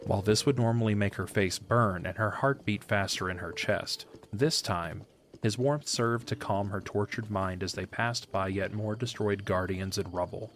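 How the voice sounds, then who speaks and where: Male narrator for audio fiction: lower register, measured literary read, faint ambience behind. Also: a bit bright. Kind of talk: scripted story narration. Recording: faint background ambience noise.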